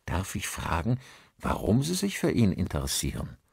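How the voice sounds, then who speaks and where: Man voicing a chatty, lively village pastor. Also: low-pitched, normal speed, vocal fry, slightly rough, dry recording, studio quality, heavily acted. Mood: friendly, helpful. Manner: courteous, talkative, animated. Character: friendly, chatty village pastor, male, married.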